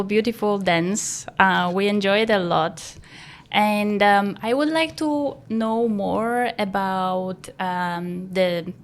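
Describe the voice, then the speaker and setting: A woman academic advisor hosting a podcast, asking students questions slowly with many pauses. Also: medium pitch. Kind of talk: casual interview.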